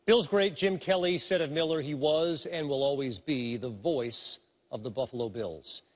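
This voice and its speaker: A man reading a scripted documentary narration in a smooth, measured way. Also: fairly high pitch.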